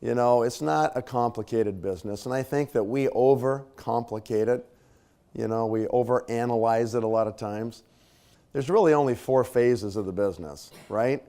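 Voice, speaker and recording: A man's voice: medium pitch, normal speed, dark tone, clear, resonant tone. Man with a scratchy voice coaching a crowd. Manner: conversational, casual fillers and tag questions. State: slightly scratchy voice, clearing throat. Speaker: speaker at a leadership training. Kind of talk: motivational talk to a live audience.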